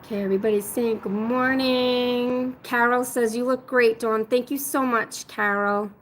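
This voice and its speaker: Slow, raspy-voiced woman on a livestream. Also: a little high, low-quality recording. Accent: New England. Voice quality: hesitant, many ums and uhs. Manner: slow, walking through rooms listing items. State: raspy from being sick. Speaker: vlog host on a livestream. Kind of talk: casual livestream chat, reading comments. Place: apartment being packed up. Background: road noise.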